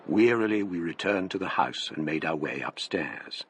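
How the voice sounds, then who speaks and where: An actor playing a grave gentleman narrator with an English accent, telling a gothic tale in literary, dramatic style for radio. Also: deep voice, animated, normal speed, a little hiss or hum. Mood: reflective.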